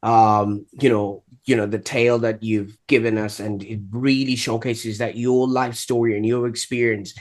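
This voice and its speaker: A man's voice: on the low side, little pitch movement, normal speed, few pauses. A man hosting a podcast interview, chatty with light jokes and many repeated "you know"s. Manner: warm-hearted, joking, repeats "you know". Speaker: podcast host.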